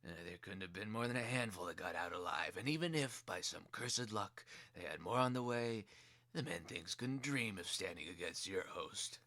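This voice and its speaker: A man's voice: low voice, expressive, moderate pace, pushed and bright, heavily acted. A man voicing a boastful, scornful rat general. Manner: boastful, dismissive.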